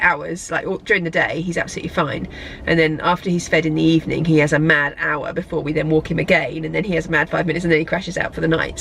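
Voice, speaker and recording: Woman, congested, chatty vlog, gravelly, faint background hiss. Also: deep voice, fairly bright tone, low-quality recording. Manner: rambling, chatty, self-correcting. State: congested from a cold. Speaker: lifestyle vlogger. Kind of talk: casual vlog chat. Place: parked car, then a hotel room. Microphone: camera on a tripod.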